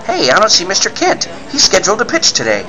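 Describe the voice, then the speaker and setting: A man voicing an eager, excitable young cub reporter in earnest, boyish 1940s radio style. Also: high voice, fast, dull audio, pitched above the performer's own voice, full character voice. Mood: excited, insistent. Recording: crowd noise behind the voice.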